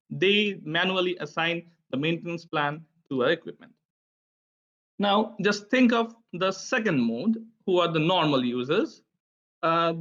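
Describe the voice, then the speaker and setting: A man's voice: a little high, fairly bright tone. Man presenting, lo-fi audio. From India. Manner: friendly, chatty, many filler "uh"s. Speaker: presenter at an online tech session. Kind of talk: conference presentation. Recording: dull, lo-fi online audio.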